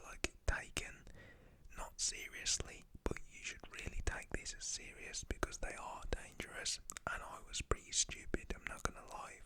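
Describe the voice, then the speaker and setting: A young man telling a story in an informal, rambling, slangy way, in a breathy ASMR whisper. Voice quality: whispered, breathy voice without voicing.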